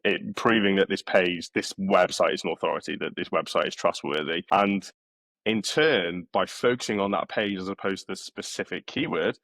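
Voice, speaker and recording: A male podcast host explains his points in a measured way. His voice is very low, and the recording is dry with no room sound. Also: a bit bright, dry recording. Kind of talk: podcast interview.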